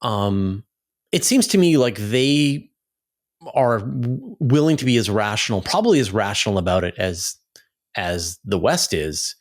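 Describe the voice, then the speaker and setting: A man with a fairly low voice hosts a conversational interview. He is casual and thoughtful, building long questions in halting steps. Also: big pitch swings. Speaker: host, interviewer.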